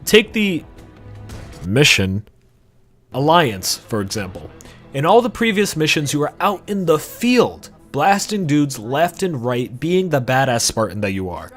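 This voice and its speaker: Man with lively, emphatic delivery. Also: fairly high pitch, unhurried, soft background music. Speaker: video essay host. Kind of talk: scripted video essay commentary on games.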